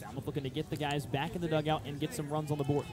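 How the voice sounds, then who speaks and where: A man's voice: fairly high pitch, flat delivery, very fast, crowd noise. A man doing live baseball radio play-by-play in short, descriptive phrases. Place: outdoor ballpark broadcast position.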